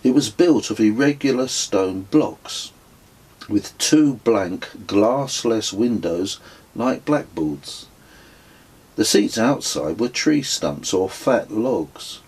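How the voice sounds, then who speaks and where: A middle-aged man reading his own reflective travel memoir as an audiobook, in a measured, literary and wry manner. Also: lowish voice, expressive, darkish tone, slightly rough, low-quality recording.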